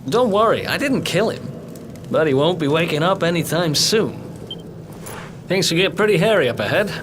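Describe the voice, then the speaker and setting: An actor playing a roguish, streetwise young prisoner in a fantasy video game, talking in a wry, informal way. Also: male voice, a little high, expressive, normal speed, noisy background, natural voice. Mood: matter-of-fact. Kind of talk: video game cutscene acting.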